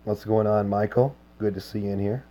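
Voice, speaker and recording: A hoarse man chats easily with viewers on his livestream, his voice sitting low and dark. He talks in a relaxed, unhurried way, scattering filler words between his thoughts. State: hoarse, lost voice. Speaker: game streamer. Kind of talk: casual livestream chat with viewers.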